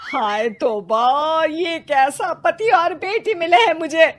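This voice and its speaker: A woman voicing an angry, sarcastic middle-aged Indian mother in an animated moral story. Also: very high-pitched, slow pace, soft background music, pitched above the performer's own voice. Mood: exasperated, dramatic. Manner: sarcastic, theatrical scolding.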